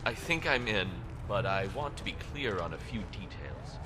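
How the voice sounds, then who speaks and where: A man's voice: fairly low pitch, lively intonation, moderate pace, background noise, natural voice. An actor playing an evasive, self-important male model, hesitant and offhand.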